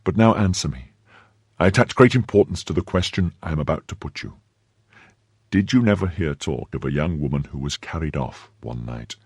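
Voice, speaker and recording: A man voicing a gallant young Frenchman in a storybook, confident, coaxing and quick to give orders. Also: a bass voice, lively intonation, husky, no echo, clean studio sound, heavily acted. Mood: tender, coaxing. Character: gallant, brisk young Frenchman, affectionate and commanding.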